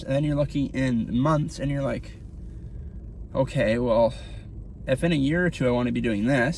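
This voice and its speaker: Man around thirty, very gravelly voice, rambling motivational talk, in a car, faint engine hum. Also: medium pitch, a little mellow. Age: 30s. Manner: rambling, speaks to camera while driving. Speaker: vlogger.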